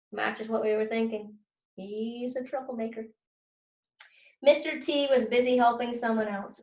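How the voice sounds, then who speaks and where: A woman teacher reading to children and commenting as she goes, expressive and stressing key words. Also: a little high, reverberant, low-quality recording.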